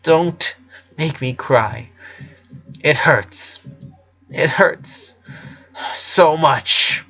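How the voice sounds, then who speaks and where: An anguished teenage rocker girl, acted out by a man, hurt and quick to blame herself. She speaks with pained emphasis, as in a dramatic storybook reading, on a slightly dull recording. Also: fairly high pitch, bright, forceful tone, full character voice. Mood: pleading, tearful.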